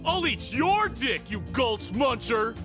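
A man's voice: very high voice, very animated, slow, music in the background, exaggerated voice. A man voicing an aggressive, belligerent teenage boy bully in a comedy cartoon game. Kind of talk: animated comedy game acting.

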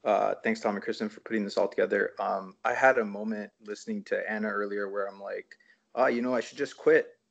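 A man's voice: fairly low pitch, fairly even intonation, a little fast, gravelly. A man from Toronto, a poet, reading aloud over a dull, lo-fi video call. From Toronto, Canada. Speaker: poet reading own work. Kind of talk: poetry reading.

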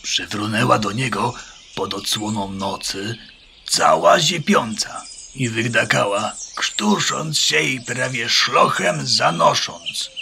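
An actor playing an anxious, loyal male farmyard bird in a children's radio play, earnest and cautious, with a gravelly, strained voice. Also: medium pitch, slow, pushed and bright, a little hiss or hum, exaggerated voice.

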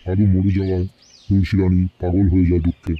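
A man voicing an old male servant, gravely telling an eerie tale in a cartoon horror story. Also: low voice, completely flat delivery, quick pace, lo-fi sound, a little hiss or hum, lightly acted. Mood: sombre. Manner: grave, storytelling, Hindi-mixed Bengali wording.

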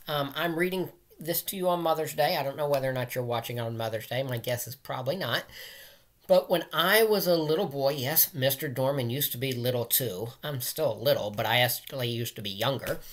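A man's voice: a little high, a bit bright. Middle-aged man reading aloud to children. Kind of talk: casual read-aloud of nursery rhymes for children. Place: small room at home, indoors.

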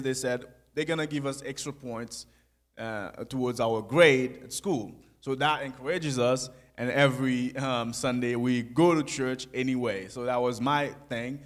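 A young man telling his life story in a church sanctuary, speaking softly into a handheld mic with pauses and easy laughs. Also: medium pitch. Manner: soft-spoken, halting, laughs easily. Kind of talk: conversational testimony.

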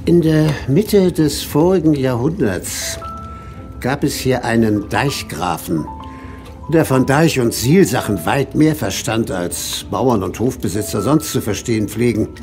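An actor playing a thoughtful village schoolmaster who tells an old tale in measured, literary phrases for an audio drama. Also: male voice, mid-range voice, animated, darkish tone, faint music behind. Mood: measured, absorbed. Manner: measured, literary storytelling with emphatic swells. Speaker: storyteller. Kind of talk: German audio drama narration.

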